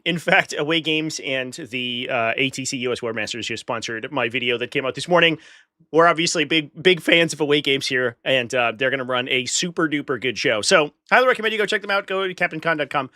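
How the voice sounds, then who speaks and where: Man, stuffy nose, casual stream host, higher voice, bright and forceful, dry close mic. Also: dry recording. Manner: chatty, dry sarcastic asides to chat. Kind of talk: casual live stream commentary.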